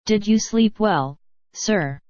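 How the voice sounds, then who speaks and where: A woman's voice: medium pitch, lively intonation, takes it slowly, darkish tone, dry recording. A synthetic female narrator voicing a meek, deferential servant woman in an audiobook, with stilted delivery. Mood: compliant, deferential. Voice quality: synthetic text-to-speech voice. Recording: dull, lo-fi audio.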